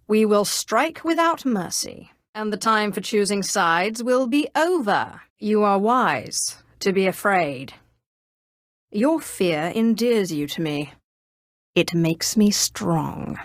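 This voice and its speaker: Haughty, menacing Sith sorceress. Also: female voice, mid-range voice, no room sound, studio quality. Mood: imperious, menacing. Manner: haughty, commanding, deliberate delivery. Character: menacing imperious female Sith sorceress, risen from slavery. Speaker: video game voice actor. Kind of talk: scripted game dialogue.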